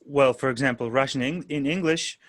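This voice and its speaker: A man's voice: mid-range voice, a little fast, a bit bright, dry recording. Hesitant Uzbek-speaking man, dry sound. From Kyrgyzstan. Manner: hesitant, many fillers and restarts. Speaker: guest. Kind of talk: casual podcast interview.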